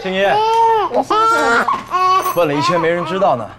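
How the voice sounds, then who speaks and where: A gentle woman who coos and soothes a crying baby. Her lively voice swoops up and down. Heavy background noise surrounds her. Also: fairly low pitch, normal speed, gravelly, heavily acted. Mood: soothing. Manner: soothing, cooing.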